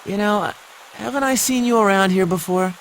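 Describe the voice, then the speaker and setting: A man voicing a brash, moody high school boy in an anime game, talking in casual, expressive slang. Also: normal speed, a little hiss or hum, rough recording. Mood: casual, surprised.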